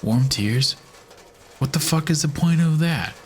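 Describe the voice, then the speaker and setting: Man playing an excitable, jumpy noir sidekick. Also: a little high, unhurried, natural voice. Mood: astonished. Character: excitable, incredulous male sidekick photographer. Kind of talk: amateur noir radio drama acting.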